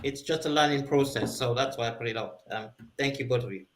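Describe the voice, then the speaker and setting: A man's voice: medium pitch, quick pace. A man taking part in a panel discussion, earnestly asking questions and thanking the others. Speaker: panel participant. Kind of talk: casual discussion.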